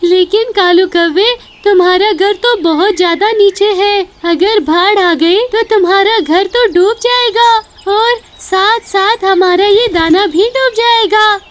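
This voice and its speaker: A woman's voice: extremely high pitch, takes it slowly, hardly any pauses, pitched above the performer's own voice. A woman voicing an earnest, sensible little female bird in a cartoon story. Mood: concerned.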